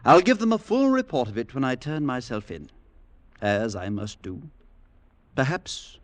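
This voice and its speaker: An actor playing a grave, resolute conspirator helping a friend, solemn and deliberate in 1940s radio style. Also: male voice, medium pitch, very animated. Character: grave, resolute conspirator turned helpful ally. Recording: 1940s radio drama broadcast.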